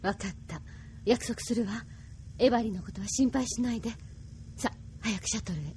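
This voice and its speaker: A woman's voice: on the high side, fairly quick, close to an everyday voice. A woman playing a caring, dependable female comrade in a Japanese anime audio drama. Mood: reassuring. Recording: noisy background ambience.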